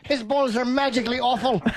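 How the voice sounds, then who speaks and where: Man doing a high-pitched crude Jamaican woman, cackling. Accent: put-on Jamaican. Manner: broken pidgin grammar, cackling, taunting. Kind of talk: comic character bit on a radio show.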